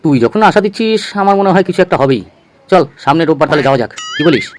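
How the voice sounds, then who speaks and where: A man's voice: fairly high pitch, expressive, rapid-fire, lightly acted. A man playing a glum, self-pitying balding friend in his thirties, grumbling plaintively in an amateur comedy cartoon. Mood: hopeful.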